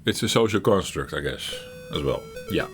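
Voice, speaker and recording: A middle-aged man hosting his retro gaming channel, talking while he plays in a halting, reflective way, searching for words and laughing at himself. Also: deep voice, expressive, music in the background. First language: Dutch. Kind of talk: reflective gameplay commentary.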